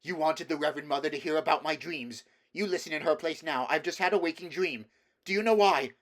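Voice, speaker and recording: A man voicing an intense teenage boy who swings between anguished shouts and cold, iron-controlled speech in an audiobook reading. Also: mid-range voice, moderate pace, pitched above the performer's own voice, lightly acted.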